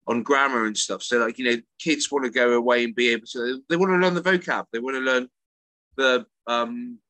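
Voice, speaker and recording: Brief: male co-host and teacher, bright and forceful voice, stumbling starts, dry close mic. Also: medium pitch, a little fast, no echo. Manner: animated storyteller, repeats words when starting sentences. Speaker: podcast co-host and English teacher. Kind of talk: casual chat. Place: works in Italy.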